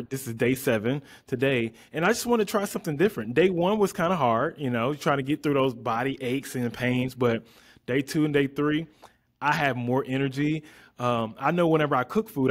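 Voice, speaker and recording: An American man chats as an interview host. His delivery is informal and conversational, at a medium pitch, spoken close into a desktop microphone. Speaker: interview host, vegan chef and influencer. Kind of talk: casual interview chat. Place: indoor room, remote video call. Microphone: desktop condenser microphone up close.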